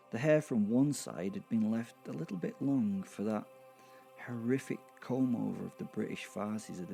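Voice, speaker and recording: A man with a low, mellow voice full of creak narrates a first-person horror comedy. He is wry and conversational, drawing out words for emphasis, with faint music behind him. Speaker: narrator of a serial audio drama.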